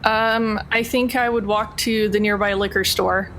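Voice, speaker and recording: A woman's voice: mid-range voice, fairly even intonation, unhurried, a bit bright, slight background noise, low-quality recording. A woman playing a tabletop game, chatting casually and playfully as she describes what her character does. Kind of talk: casual improvised roleplay chat.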